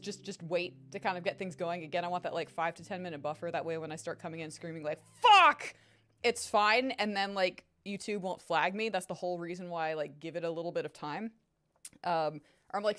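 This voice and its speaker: A young woman streamer chatting casually with her viewers, her voice strained and tired, rambling and swearing freely. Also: mid-range voice. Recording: faint music behind voice. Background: faint music.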